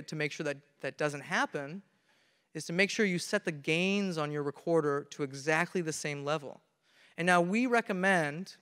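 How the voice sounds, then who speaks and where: A young man speaks into a handheld mic on a conference stage, earnest and methodical as he explains, often restarting mid-sentence. His voice sits a bit high and comes across smooth and resonant. The long reverb of a large hall hangs behind every phrase. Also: a bit bright. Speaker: conference presenter. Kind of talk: technical talk on stage.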